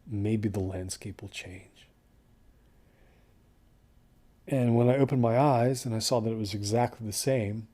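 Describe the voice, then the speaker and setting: A man playing a shaken, weary survivor of a desert hike in an audio drama, recalling it haltingly and in hushed tones. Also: lowish voice. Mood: despairing, weary. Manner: halting, hushed, trailing into near-whispers. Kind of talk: audio drama monologue, first-person recollection.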